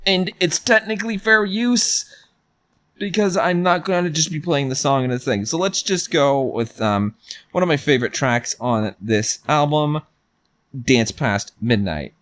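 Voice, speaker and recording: A man's voice: fairly high pitch, a little slow, fairly bright tone. A man presenting a hands-on tech review, informally talking through each step as he goes. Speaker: video reviewer.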